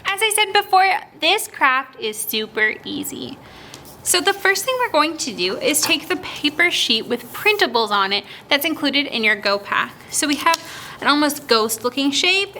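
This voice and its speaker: Bright, high-voiced woman hosting children's story time. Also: a little hiss or hum. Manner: animated, child-directed, asks and answers own questions. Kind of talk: read-aloud and craft instruction for young children.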